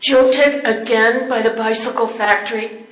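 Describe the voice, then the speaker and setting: A woman's voice: a little high, steady tone, measured pace, natural voice. An older woman playing a curious, neglected wife in an amateur readers theater performance. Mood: hurt. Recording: echoey room recording.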